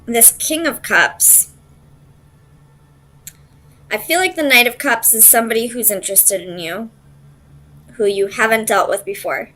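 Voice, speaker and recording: A woman's voice: a little high, unhurried, pauses often, bright, forceful tone. A woman doing an informal tarot reading, speaking straight to the listener and thinking aloud in loose, tentative phrases. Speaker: tarot reader and host.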